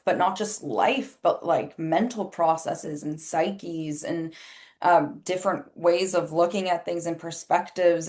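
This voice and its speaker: A woman whose first language is Spanish, an astrologer and life coach chatting candidly as a podcast guest, filling her talk with "like". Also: lowish voice. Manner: chatty and candid, many "like" fillers, acts out quoted remarks. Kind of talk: casual interview chat.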